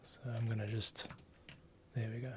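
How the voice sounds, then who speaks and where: A man giving a casual screencast tutorial, thinking aloud and muttering to himself with pauses as he works. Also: lowish voice, little pitch movement. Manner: muttering to himself, pausing while working. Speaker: composer, streamer host. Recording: faint noise, keyboard and mouse clicks. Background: typing, mouse clicks.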